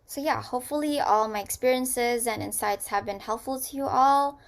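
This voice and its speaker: A woman's voice: a little high, moderate pace. A young woman, a student, speaking sincerely about her experiences over a video call. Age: young adult. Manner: sincere, reflective. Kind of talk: personal talk.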